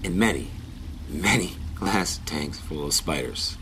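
A man's voice: very deep voice, lively intonation, measured pace, pushed and bright, slight background noise, heavily acted. An actor playing an uneasy, hesitant man in a space audio drama, speaking naturally and wryly.

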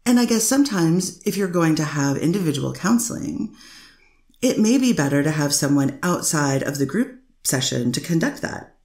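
A woman reads a methodical defense attorney working through her questions. Her voice sits low with a gravelly edge. The room rings with echo. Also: lively intonation, normal speed, darkish tone. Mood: measured. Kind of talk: readers theater courtroom reading.

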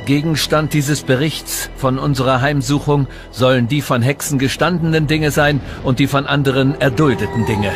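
An actor playing a stern, zealous Puritan preacher of 1692, reading gravely and declaiming in a historical documentary. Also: male voice, medium pitch, steady tone, normal speed, few pauses, lightly acted. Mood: grave warning. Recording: music underneath.

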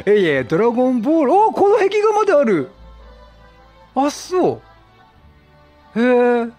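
A man's voice: very high voice, huge pitch swings, moderate pace, darkish tone, faint music behind. A talkative man doing chatty, reactive game commentary as a let's play streamer, exclaiming and reading game text aloud.

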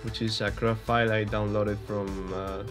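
A man's voice: lowish voice, level tone, normal speed, music in the background. A young man from Spain with a Spanish accent, giving casual, hesitant commentary over gameplay with many fillers and restarts. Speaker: gaming YouTuber.

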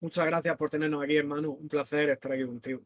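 A man in his late thirties from Murcia with a Murcian Spanish accent, a rapper chatting as a guest in a relaxed, open way. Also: a little high, fast talker. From Murcia, Spain. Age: 30s. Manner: relaxed and open, calls people brother. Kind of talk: casual interview chat. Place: home room with the other guest.

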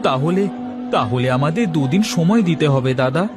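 A man's voice: on the high side, expressive, moderate pace, over music, natural voice. A man voicing a humble, worried village vegetable seller in a cartoon, plain-spoken and deferential. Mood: anxious. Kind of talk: cartoon character dialogue.

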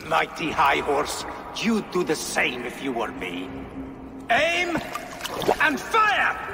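Bitter fanatical commander in a game, slow grand speechifying, big reverb. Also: male voice, fairly high pitch, huge pitch swings, creaky, over music, heavily acted. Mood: fierce commanding. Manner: grandiose, declamatory. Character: fanatical, bitter Viking-age archer commander and orator. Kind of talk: dramatic video game acting. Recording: noisy, long echoing reverb.

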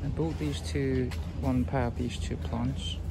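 Casual guy, rambling slow w lots of ums, phone mic outside. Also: medium pitch, fairly even intonation, creaky. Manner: slow, hesitant, many fillers. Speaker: vlogger. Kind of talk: casual walking commentary. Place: outdoors on London streets and in shops. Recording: outdoor street noise.

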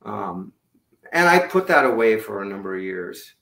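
A middle-aged man with a low voice, chatting loosely about his music over a video call, with many fillers and restarts. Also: big pitch swings, moderate pace, vocal fry, bright, forceful tone. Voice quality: lower-range voice. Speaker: musician guest. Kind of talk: casual interview chat. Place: home room indoors. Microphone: video call webcam. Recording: echoey room on webcam.

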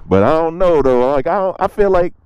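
A man vlogging casually from a motorcycle meetup outdoors, talking to viewers in relaxed slang through a helmet or body camera mic. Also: fairly high pitch, brisk, clean tone, dry recording, dull audio. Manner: laid-back slangy talk to viewers. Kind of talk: casual vlog narration. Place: outdoors at a parking lot meetup. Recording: loud wind and engine noise outdoors.

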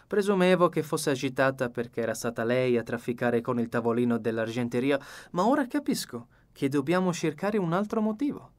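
A man's voice: mid-range voice, a little fast, few pauses, lightly acted. A man voicing a courteous, fussy older detective in an audiobook, effusive, precise and polite. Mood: confiding, shrewd. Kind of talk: audiobook character dialogue.

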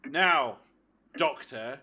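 An actor playing a cold, menacing captor in an audio drama, taunting and deliberate in a theatrical way. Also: male voice, mid-range voice, sharp tone, a little hiss or hum, exaggerated voice. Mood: gloating. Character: menacing cold male captor interrogating a prisoner. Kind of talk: fan audio drama acting.

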